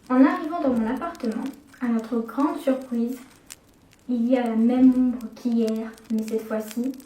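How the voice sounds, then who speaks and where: A woman's voice: fairly high pitch, dark tone. Young woman telling a horror story in an echoey room. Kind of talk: first-person horror story narration. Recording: echoey room, distant from the mic.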